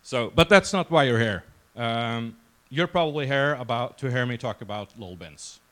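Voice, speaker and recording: A man of about forty with a Norwegian accent, speaking to a conference crowd in a loose, self-deprecating way. His voice sits at an ordinary pitch for a man. The delivery is relaxed and conversational, not polished. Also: normal speed. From Norway. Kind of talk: casual conference presentation. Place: conference session room before a crowd.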